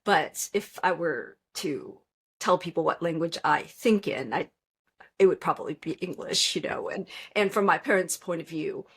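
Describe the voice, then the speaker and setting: A woman in her fifties, originally from Vietnam with Vietnamese as her first language, talks about her life as a podcast guest. Her voice is low and a little husky, and she tells her story in a calm, reflective way. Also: moderate pace. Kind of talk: casual interview chat.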